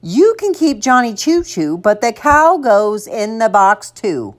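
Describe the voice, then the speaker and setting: Woman as storybook mother, exasperated, firm, emphatic, hugely varied pitch, unhurried. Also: big pitch swings. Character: exasperated, firm, bargaining mother of a young boy. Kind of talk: children's storybook character voice.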